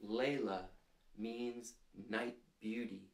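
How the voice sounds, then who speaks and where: A man in his thirties hosting a children's storytime, reading aloud and prompting listeners in a gentle, deliberate, clearly phrased way, seated in a small room. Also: fairly low pitch, steady tone. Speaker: storytime host reading picture books to children. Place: small furnished room indoors, seated on a couch. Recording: roomy indoor sound.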